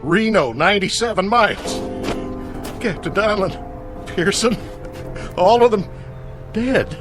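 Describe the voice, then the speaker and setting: Over clear background music, a desperate, ruthless astronaut stranded and turned killer. His delivery is slow and weighty, with pitch that swings widely in full radio-play style. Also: expressive. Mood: anguished, remorseful. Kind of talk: dramatic radio play acting.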